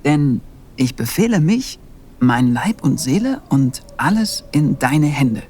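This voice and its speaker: A man's voice: mid-range voice, big pitch swings, darkish tone, slightly rough, full character voice. A man playing a humble, pious young monastery novice in a fantasy audio play, speaking earnestly and with solemn care. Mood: devout. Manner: solemn, measured.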